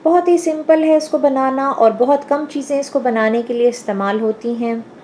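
A woman hosting a home cooking show, calmly explaining each step and addressing her viewers directly. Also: sits high, moderate pace, slight background noise. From Pakistan. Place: home kitchen.

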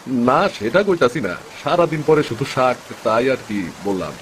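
A man's voice: mid-range voice, sing-song, moderate pace, a little hiss or hum, lightly acted. A man playing a poor, good-natured village fisherman and father in a cartoon, speaking plaintively with a rural eastern Bengali accent. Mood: meek. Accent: rural eastern Bengali (Bangladeshi). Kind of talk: dramatic cartoon story dub.

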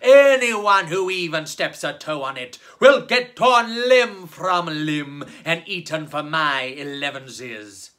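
Storyteller doing a huge grisly troll, booming and slow, drags every word out, loud and bright. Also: lively intonation. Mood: booming, menacing. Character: giant grisly male troll guarding a bridge.

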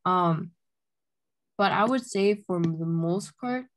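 A woman's voice: fairly low pitch, slow. A young woman from Honduras, earnestly telling her story as an activist over a video call from her bedroom, with many "um"s and "like"s. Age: young adult. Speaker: youth climate activist. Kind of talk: casual spoken account. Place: bedroom at home, indoors. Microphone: laptop webcam, video call.

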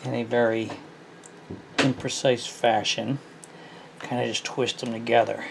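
A man's voice: mid-range voice, level tone, a little slow, gravelly, noisy background. A middle-aged man casually talking through a repair at his workshop bench, thinking aloud with a self-deprecating, easygoing manner. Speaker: small engine repair host. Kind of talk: casual hands-on repair tutorial.

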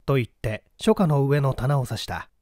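A man's voice: mid-range voice, expressive, moderate pace, darkish tone, dry recording, studio quality. A man reading a classic mystery novel as an audiobook narrator, in formal, dramatic storytelling style.

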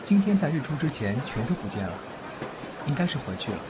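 Man as calm godfather amid loud noise. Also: medium pitch, brisk, lightly acted. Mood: calm warning. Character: calm, knowing godfather, a ghost-fighting healer. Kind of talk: Chinese audio drama acting. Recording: muffled audio with loud train noise.

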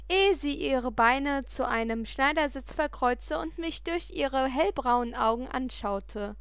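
A woman narrating a first-person story as an expressive audiobook reader. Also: sits high, no room sound.

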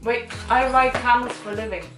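A woman's voice: on the high side, fairly even intonation, moderate pace, music in the background. A woman in her twenties chatting casually and playfully as a vlog co-host. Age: 20s. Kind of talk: casual playful challenge banter. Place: small bedroom, indoors. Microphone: camera a couple of metres away. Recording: roomy, distant camera mic.

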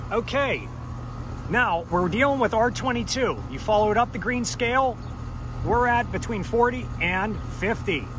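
A man's voice: high voice, noisy background. A man in his thirties working as an HVAC technician, explaining a repair step by step outdoors and often checking in with "okay?". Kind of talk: hands-on how-to explanation. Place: outdoors by an air conditioning unit in a yard. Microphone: camera mic, handheld or on a tripod.

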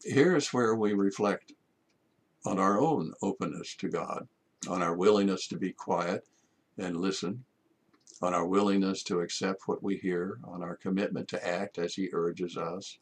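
An older man, a priest, delivering a measured homily from his study, with rhetorical questions. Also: low voice. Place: home study with bookshelves.

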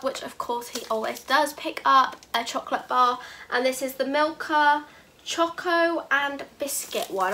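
A woman's voice: on the high side, a bit bright, slight background noise. A British woman in her twenties, a vlogger chatting to camera in her bedroom, gushing and repeating herself, a bit bunged up with a cold. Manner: gushing, repeats "really, really cute". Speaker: haul vlogger. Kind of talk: casual chat to camera. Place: bedroom, indoors.

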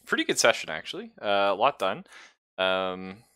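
A man's voice: on the low side, very animated, normal speed, bright, forceful tone, smooth. A man casually narrating an online tabletop game as game master, in a joking, loose way.

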